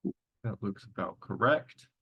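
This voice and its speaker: Low-voiced young man mumbling through code. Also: expressive, breathy edge, no room sound, muffled recording. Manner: mumbling, halting, self-correcting. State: getting over a cold. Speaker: student solving a coding test. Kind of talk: think-aloud coding walkthrough.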